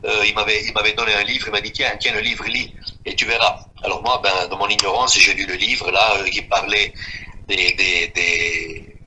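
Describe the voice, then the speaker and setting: The sort of man heard giving his testimony on a community radio slot: fifties, low-pitched, feeling his way haltingly from phrase to phrase. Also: little pitch movement, pushed and bright, poor audio. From Switzerland. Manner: halting, repeating himself. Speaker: guest giving a personal testimony. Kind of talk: unscripted spoken testimony.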